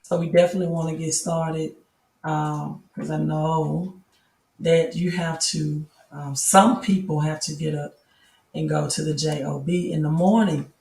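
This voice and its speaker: A woman with a raspy, hoarse voice hosting a casual late-night live stream from home, chatting slowly and greeting viewers by name. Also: low voice, fairly even intonation, darkish tone, echoey room, recorded from a distance, low-quality recording. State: hoarse from yelling while coaching. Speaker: crypto and news live streamer. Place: at home at a laptop.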